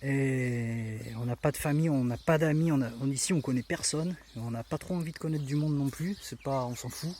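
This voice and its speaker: A middle-aged man with a southern French accent from the Var talks easily and chattily, voice sitting at an ordinary mid pitch. He is filming himself outdoors in the countryside on a handheld camera. Faint birdsong and rural ambience drift in behind him. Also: brisk, few pauses, slight background noise. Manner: chatty, relaxed, frequent fillers. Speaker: vlogger. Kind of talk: casual walk-through tour.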